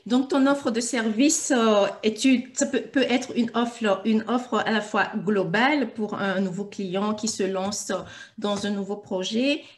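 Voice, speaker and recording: A woman's voice: mid-range voice, steady tone, unhurried. Woman hosting her channel interview. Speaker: host of own channel.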